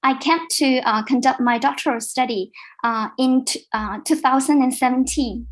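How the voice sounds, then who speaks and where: A woman's voice: sits high, normal speed. A woman from China, a doctoral candidate, giving a short hesitant introduction on a video call. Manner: brief, hesitant. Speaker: PhD candidate panelist.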